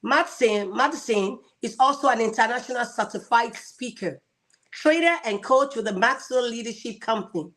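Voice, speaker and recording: A woman's voice: on the high side, moderate pace, a bit bright, rough recording. A woman hosting an interview, reading a guest's introduction and asking questions with enthusiasm. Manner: enthusiastic, laughs often. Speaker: host.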